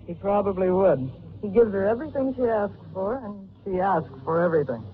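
An actress playing a frank young secretary with a blunt, knowing manner in an old-time radio drama. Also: female voice, mid-range voice, expressive, fairly quick, lightly acted. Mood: tart, knowing. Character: frank, tart young single female secretary. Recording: tinny, hissy old radio recording.

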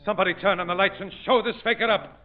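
A man's voice: high voice, fairly even intonation, very fast, natural voice. A man playing a pompous, fraudulent séance medium in 1940s radio drama, formal and theatrical. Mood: indignant. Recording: muffled vintage radio recording.

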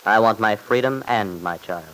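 A man's voice: on the low side, moderate pace, a bit bright, lightly acted, rough recording. An actor playing a determined young father in an old-time radio drama, earnest and firm but polite. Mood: defiant.

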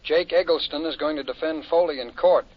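A man's voice: on the high side, a little fast, natural voice. An actor playing an anxious insurance company man in a 1950s radio drama, earnest and worried, with an American accent. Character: anxious male insurance company colleague of the detective. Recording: dull old radio recording.

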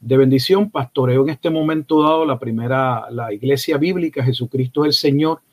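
A man, a pastor answering interview questions in a measured way, stringing phrases in series. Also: mid-range voice, a little mellow. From Puerto Rico. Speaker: pastor, guest.

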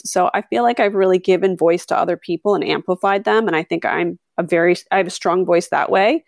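Woman podcaster, middle aged, rambling animated stories, lots of "like", dead dry room. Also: lowish voice, no echo. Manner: chatty, animated storytelling with frequent fillers. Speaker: podcast host. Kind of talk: conversational interview.

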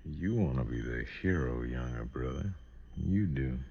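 An actor playing a wry, scheming older outlaw brother in a radio western, with a gravelly American voice and terse, folksy talk. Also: male voice, a bass voice, lively intonation, unhurried, highs cut off, exaggerated voice. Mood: low, wary, dry. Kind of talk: old-time radio western acting.

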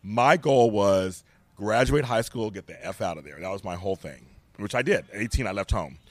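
Older man, deep low voice, from Los Angeles, earnest and emphatic, candid chat. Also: expressive, moderate pace, no room sound. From Los Angeles, USA. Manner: earnest, emphatic, repeats himself. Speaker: guest. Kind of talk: candid conversation.

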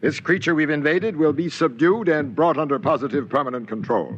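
A man's voice: a little high, a little fast, close to an everyday voice. A male actor as a stern military commander from an alien force in a vintage radio drama. He gives orders imperiously, in clipped phrases that expect obedience. The old recording is dull and very noisy. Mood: domineering. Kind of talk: old-time radio science fiction acting.

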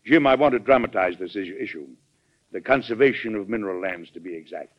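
An actor with an American accent playing a calm, resolute president with dry humor in a classic radio drama. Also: male voice, lowish voice, quick pace, close to an everyday voice. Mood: measured, resolute. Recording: dull old radio recording.

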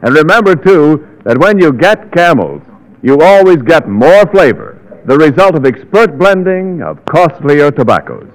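A man's voice: on the high side, sing-song, measured pace, rough recording. An American man announcing in a polished, emphatic 1940s radio advertising style.

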